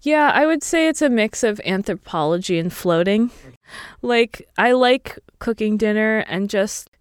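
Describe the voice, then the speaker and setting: A woman's voice: mid-range voice, lively intonation, a little slow, clean tone, no room sound, clean studio sound. A woman in her thirties talking casually and reflectively in an interview, often saying "like" and "you know". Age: 30s. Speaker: interview guest.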